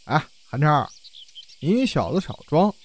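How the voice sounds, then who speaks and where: A woman's voice: low-pitched, very animated, a little slow, full character voice. A woman voicing a cocky, bossy young male comedian who teases and threatens playfully in an audio drama. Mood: mock threatening. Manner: swaggering, teasing.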